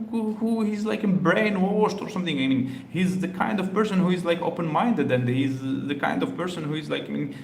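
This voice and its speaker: Man, from Algeria, reaction host, halting and filler-heavy delivery, roomy echo, faint hum behind. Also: a little high, a little mellow. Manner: halting, many fillers and restarts. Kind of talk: casual commentary on a video.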